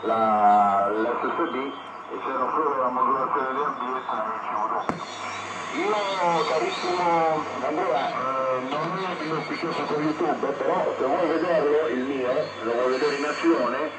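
A man's voice: mid-range voice, unhurried, recorded from a distance. A man speaking as an amateur radio operator, spelling out call signs, heard through a shortwave radio receiver. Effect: heard over a shortwave radio. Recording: noisy echoey radio speaker, from afar.

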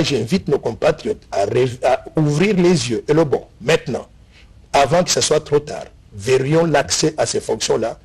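Husky, impassioned middle-aged man. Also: fairly high pitch. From Congo (DRC). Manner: impassioned, argumentative, gestures a lot. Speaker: interview guest, bill author. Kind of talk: TV studio interview. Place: TV studio set.

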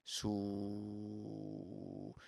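A man in his twenties with a Bolivian accent, delivering a slangy comic monologue in an animated storytelling manner. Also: lowish voice, very slow, vocal fry, slightly rough, no room sound, clean studio sound. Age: 20s. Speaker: stand-up monologue comedian.

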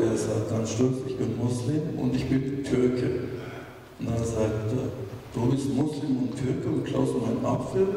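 A man's voice: medium pitch, dark tone, slight background noise. Man speaking in an echoey hall. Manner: storytelling, wry jokes. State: straining to spare his voice. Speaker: politician giving a speech. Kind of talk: speech at a memorial event. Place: large wood-panelled hall. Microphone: handheld microphone. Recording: echoey hall recorded from far off.

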